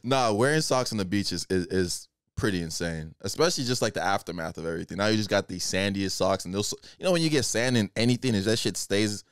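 A man's voice: on the low side, lively intonation, fast talker, hardly any pauses, smooth, dry recording, clean studio sound. A man around his thirties chatting casually as a podcast co-host. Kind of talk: casual chat. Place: small indoor podcast room, seated. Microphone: boom-arm studio microphone.

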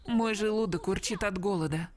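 A woman's voice: mid-range voice, normal speed, lightly acted. A woman voicing a brash, curious teenage boy in a dubbed fantasy cartoon, chatty and cocky. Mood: impatient, curious. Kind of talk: Russian dub of animated fantasy series.

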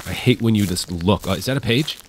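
A man's voice: on the low side, quick pace, a little hiss or hum, low-quality recording. A sick man co-hosting a game playthrough, jumpy and swearing, yelping when scared, recorded on a webcam mic in a small room. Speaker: let's play co-host. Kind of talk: casual gameplay commentary.

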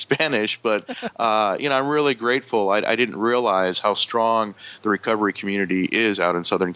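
A lowish male voice, middle-aged and from Michigan, speaks candidly and reflectively, leaning on "you know" between thoughts. The sound is dry and a little dull, like remote podcast audio. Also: fairly bright tone, clean tone, no echo. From Michigan, USA. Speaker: podcast guest, former TV reporter. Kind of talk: candid recovery interview.

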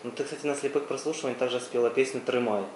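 A woman interviewer asking brisk questions in a press room, often opening with a quick aside. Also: a bass voice, steady tone. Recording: echoey room with faint background noise.

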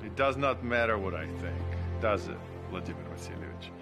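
Guy as resigned soviet officer in a game, lowish voice, formal, music behind him. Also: animated, brisk, lightly acted. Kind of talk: dramatic video game cutscene acting.

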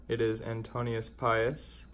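A young man chatting casually as a coin-collecting vlogger, relaxed and rambling with filler words, filmed on a phone. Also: mid-range voice, slow, a little hiss or hum. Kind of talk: casual vlog chat. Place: car, then indoors at a desk.

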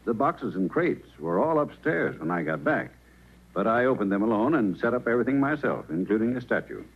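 Male professor: earnest, creaky, hesitant delivery, vintage muffled radio drama. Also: mid-range voice, expressive, a little fast. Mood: earnest recollection. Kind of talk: 1940s radio acting. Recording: muffled old radio recording.

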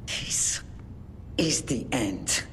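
An actress playing an anxious, bitter survivor in a video game, pleading and fretful. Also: female voice, very deep voice, animated, extremely slow, fairly bright tone, whispered, long reverb tail, full character voice. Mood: despairing. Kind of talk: video game cutscene acting.

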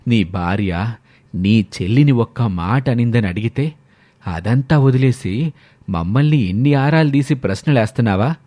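A man's voice: medium pitch, animated, moderate pace, warm tone, lightly acted. A man voicing an elderly, aggrieved father and grandfather in a family story, with a reproachful manner.

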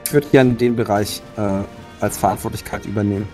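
A man's voice: lowish voice, steady tone, fairly quick, a little mellow, faint music behind. A man playing a tabletop game, talking through battle tactics in a matter-of-fact way. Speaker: player. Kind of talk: strategy discussion in a tabletop game.

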